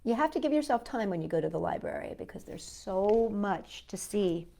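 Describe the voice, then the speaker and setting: A woman's voice: mid-range voice, animated, moderate pace, gravelly, mellow. A woman hosting a children's storytime, reading a picture book expressively and chatting to young listeners in asides.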